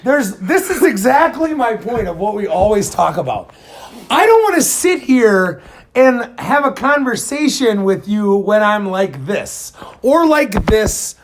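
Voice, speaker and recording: Teasing booming-voiced man in a garage. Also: measured pace. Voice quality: booming, projecting voice. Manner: teasing, sarcastic, animated. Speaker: podcast guest. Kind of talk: casual chat. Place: home garage. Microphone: phone held away from the face.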